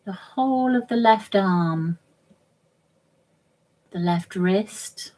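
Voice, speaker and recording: A woman guides a relaxation session in a gentle, soothing manner. Her voice sits a little lower than average for a woman. She moves extremely slowly, placing short, measured phrases between long pauses, as a yoga nidra teacher does. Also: poor audio. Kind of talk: guided meditation, relaxation instruction.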